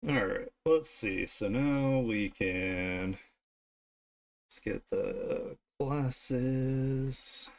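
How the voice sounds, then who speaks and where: A man streaming his art, thinking aloud in an informal, unhurried way as he works. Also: medium pitch. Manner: informal, unhurried musing to self while drawing. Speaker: streamer and artist. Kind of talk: casual art livestream commentary, thinking aloud.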